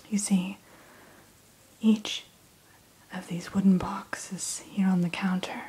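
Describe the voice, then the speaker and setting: Softly whispering woman, very breathy. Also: medium pitch, rough recording. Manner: mostly whispered, gentle and courteous. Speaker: dragon hatchery keeper in roleplay. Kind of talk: ASMR roleplay.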